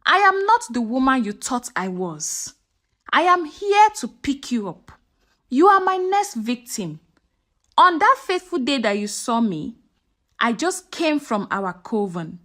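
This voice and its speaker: A woman voicing a sinister, angry old witch in a folktale, with a menacing, deliberate delivery. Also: sits high, fairly bright tone, heavily acted.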